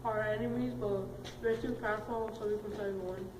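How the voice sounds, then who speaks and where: A woman's voice: mid-range voice, steady tone, brisk, natural voice. Woman as a boastful cartel boss, plain delivery, far from the mic in an echoey, noisy room. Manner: matter-of-fact bragging. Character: ruthless boastful male drug lord.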